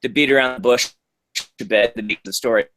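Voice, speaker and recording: A man talking casually in an interview at a middling pitch, his answers thoughtful and loose. He pauses often with ums and restarts his sentences as he works out what to say. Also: pushed and bright, slight background noise, poor audio. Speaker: author being interviewed.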